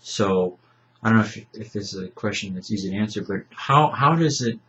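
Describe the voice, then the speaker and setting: An older man with a lowish voice hosts a library interview, easing into questions with plenty of ums and a gentle, joking manner. He sits across a table from his guest in a book-lined room, picked up on a camera mic with slightly dull, lo-fi sound. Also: lively intonation, fairly quick. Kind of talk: casual interview. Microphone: camera mic at table distance. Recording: slightly dull lo-fi webcam audio.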